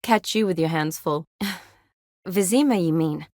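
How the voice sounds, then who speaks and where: A woman's voice: on the low side, slow pace, darkish tone, no room sound, studio quality. A woman playing a spirited, caring young medic who is frank and teasing, in naturalistic video game dialogue. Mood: matter-of-fact.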